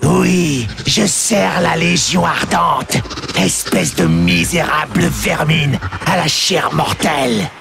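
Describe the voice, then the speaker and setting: An actor playing a cruel demon assassin who snarls and shouts threats with manic rage. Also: male voice, a little high, big pitch swings, measured pace, creaky, exaggerated voice. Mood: furious. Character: raging mad male demon assassin, cruel. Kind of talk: audio drama acting. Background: music.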